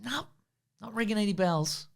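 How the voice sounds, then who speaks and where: A man's voice: high voice, animated, normal speed, vocal fry. A man in casual comedic banter as a quiz contestant, teasing and rambling with mock indignation.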